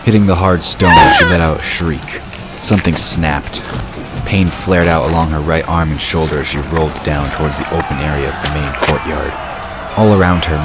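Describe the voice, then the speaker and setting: Male narrator for fantasy audiobook drama: extremely low voice, measured and dramatic, over loud music. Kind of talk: scripted fantasy story narration.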